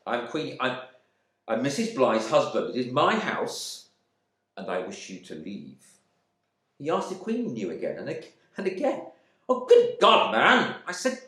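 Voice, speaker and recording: A man's voice: mid-range voice, a bit bright. A man playing a stuffy, bigoted husband back from war in a dramatic monologue, speaking with pompous indignation. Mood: outraged. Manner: pompous, clipped indignation, swinging from muttering to outbursts. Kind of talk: dramatic monologue reading from a novel. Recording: echoey room.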